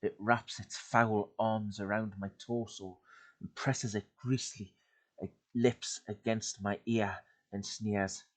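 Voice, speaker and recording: Man reading horror aloud, hushed then urgent. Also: little pitch movement, slow pace. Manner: swings from loud urgency to hushed, breathy lows. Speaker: YouTube creepypasta reader. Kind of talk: dramatic horror story reading. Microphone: home desk mic.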